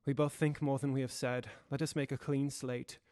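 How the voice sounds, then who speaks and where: A young man playing a grave, dutiful Victorian gentleman lawyer in an audio drama, with a formal and deliberate delivery. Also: mid-range voice, flat delivery, no room sound, clean studio sound, close to an everyday voice. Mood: grave, determined. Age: young adult.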